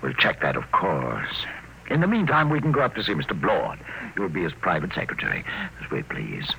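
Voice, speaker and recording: Fussy male right-hand man, low voice with huge swoops, emphatic, noisy vintage radio drama. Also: huge pitch swings, fairly quick, vocal fry, sharp tone, exaggerated voice. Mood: brisk, officious. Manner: emphatic, repeats words for effect. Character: fussy, admiring male aide to a tycoon. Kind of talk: old-time radio drama acting.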